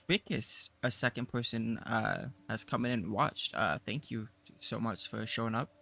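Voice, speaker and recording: Raspy, just-woken-up male streamer. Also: on the low side, moderate pace, pushed and bright. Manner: rambling, self-deprecating, with frequent fillers and laughs. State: groggy, just woke up. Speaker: game streamer. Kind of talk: casual live-stream gameplay commentary.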